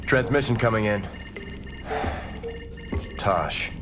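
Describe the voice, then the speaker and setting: An actor playing an earnest, dutiful starship second-in-command in a video game, reporting in a formal, respectful military manner. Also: male voice, fairly low pitch, animated, slow pace, fairly bright tone, faint music behind. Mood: alert. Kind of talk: video game cutscene acting.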